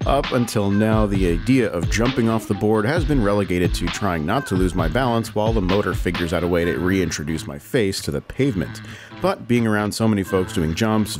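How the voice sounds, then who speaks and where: A man narrating a channel video in a dry, self-deprecating scripted voice-over. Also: lowish voice. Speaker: channel host. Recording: music bed behind voice.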